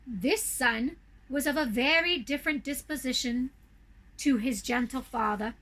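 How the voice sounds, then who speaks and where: Female children's storyteller, theatrical storybook reading: high, bright voice, unhurried and deliberate. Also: low-quality recording. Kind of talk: expressive storybook narration for children.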